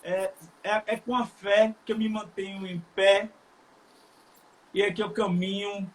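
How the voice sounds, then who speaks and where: A man from Salvador with a Bahian accent, speaking earnestly and haltingly in a casual chat, with many fillers. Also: sits high, level tone, rough recording. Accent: Bahian Brazilian Portuguese. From Salvador, Bahia, Brazil. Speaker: live stream guest.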